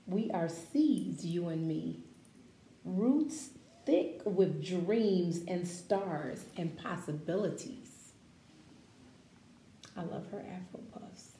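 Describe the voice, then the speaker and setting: Woman, children's story reader, unhurried and expressive, mellow tone, echoey room with faint noise. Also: on the low side. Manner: slow, expressive, deliberate reading with questions to young listeners. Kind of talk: read-aloud picture book with casual asides to children. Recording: roomy echo, faint background noise.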